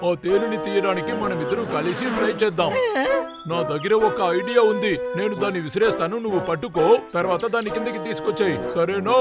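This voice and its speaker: A man's voice: high voice, fast talker, lightly acted. A man voicing a greedy but friendly bear in a cartoon dub, exclamatory and childlike, with music playing behind. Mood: coaxing. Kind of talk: animated cartoon dub acting. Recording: loud music under the voice.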